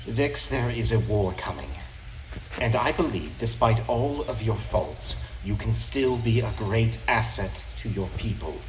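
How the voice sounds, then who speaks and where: Audio drama lord, male actor: low, menacing, contemptuous, formal delivery, noisy roomy track. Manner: formal, measured, condescending. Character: imperious, menacing elven lord, stern and contemptuous.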